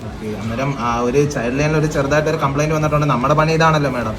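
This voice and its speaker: A man's voice: a little high, quick pace, a crowd in the background, lightly acted. A man playing a child helpline officer on a prank call, politely but hesitantly questioning someone about a complaint. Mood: probing, insistent. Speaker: prank caller. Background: loud music.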